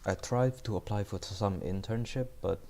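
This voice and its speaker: A man's voice: on the low side, normal speed, mellow, a little hiss or hum. A young man from Cambodia, a first-year student, chatting casually with his viewers while streaming, often repeating and restarting words. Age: young adult. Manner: rambling, repeats words, chats with chat. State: cold. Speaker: live coding streamer.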